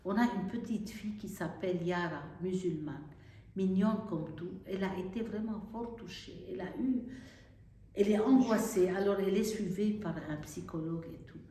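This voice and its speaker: A woman's voice: medium pitch, normal speed, mellow. A woman from Syria, a Carmelite nun, speaking earnestly and with feeling, sometimes searching for words. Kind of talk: interview testimony. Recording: echoey room, distant mic.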